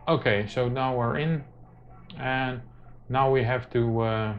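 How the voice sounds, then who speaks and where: Slow, halting man giving a tech tutorial. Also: medium pitch, steady tone, a little hiss or hum. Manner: halting, very frequent hesitations. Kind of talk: informal hands-on tutorial narration. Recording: muffled lo-fi mic, music behind.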